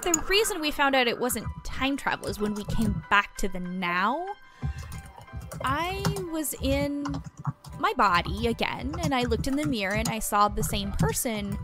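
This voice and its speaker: A woman playing an earnest, patient small-town reporter in an audio drama, explaining things in a measured, reflective way. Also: high voice. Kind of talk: audio drama dialogue, naturalistic.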